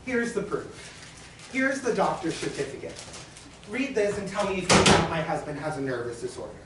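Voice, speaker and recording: A woman's voice: on the low side, lively intonation, normal speed, close to an everyday voice. A woman acting a frantic, complaining woman who pleads and insists at a bank, in a stage play. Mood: pleading, insistent. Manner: whining, theatrical, insistent. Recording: roomy hall from far off, faint noise.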